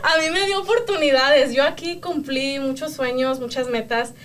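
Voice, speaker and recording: A woman's voice: high-pitched, moderate pace, very noisy. A woman with a Mexican accent, co-hosting a podcast in casual chat, telling stories and laughing often. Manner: warm, laughs often, lively storytelling. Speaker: podcast co-host.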